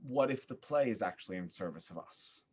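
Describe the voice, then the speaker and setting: A man giving a thoughtful interview as a podcast guest, searching for his words with an earnest, halting manner. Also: fairly low pitch, lively intonation, a little hiss or hum. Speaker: podcast guest, theater artist.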